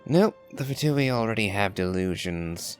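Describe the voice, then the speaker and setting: A man giving wry, measured, articulate commentary on a game's story. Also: on the low side, animated. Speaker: gamer, channel host. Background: game music.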